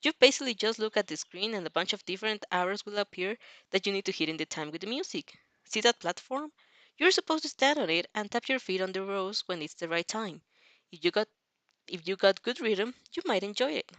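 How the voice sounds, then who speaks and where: A woman's voice: medium pitch, lively intonation, a little fast, fairly bright tone, no room sound, lightly acted. A woman voicing a cheerful teenage boy gamer, playfully boastful and friendly, with casual slangy talk in an audio story. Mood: explaining.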